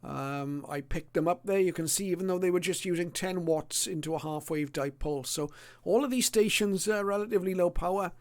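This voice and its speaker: A middle-aged or older man presenting a casual illustrated hobby talk in a hesitant, unscripted way with frequent pauses. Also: high voice, a little mellow.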